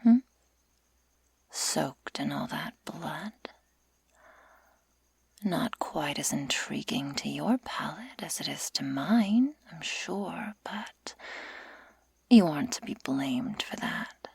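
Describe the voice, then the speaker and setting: Slow, husky whispering sadistic werewolf woman, acted out. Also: deep voice, expressive, no echo, heavily acted. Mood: mocking, condescending. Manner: slow, taunting, deliberate delivery with sighs. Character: sadistic female werewolf, menacing, mockingly tender captor. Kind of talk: whispered ASMR roleplay. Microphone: close ASMR microphone.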